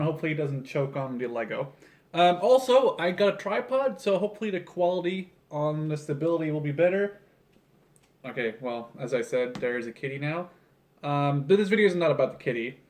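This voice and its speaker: Higher-pitched young man, casual rambling. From Canada. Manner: informal, self-interrupting, jokey. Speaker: video creator building a toy model. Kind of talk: casual rambling vlog chat. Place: small room at home, seated at a table indoors. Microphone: camera on tripod about a metre or two away.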